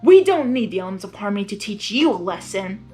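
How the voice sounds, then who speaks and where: A woman's voice: medium pitch, big pitch swings, fast, a little mellow, faint music behind, lightly acted. A woman voicing a stern, indignant young pony princess in a story read aloud. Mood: defiant.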